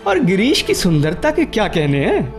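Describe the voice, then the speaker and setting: Vain, high-voiced young charmer. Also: male voice, wildly swooping pitch, normal speed, soft background music, full character voice. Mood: mocking. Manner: flamboyant, jokey, self-satisfied, drops English phrases. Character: vain, teasing, lovesick handsome young man. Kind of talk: Hindi radio play acting.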